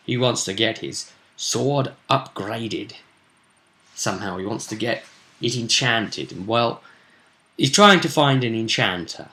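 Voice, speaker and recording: A British man hosts a casual vidcast as a game master. His voice sits a little low but has a bright, forceful edge. He tells the story in a loose, chatty ramble peppered with slang. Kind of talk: casual solo recap of a tabletop role-playing session.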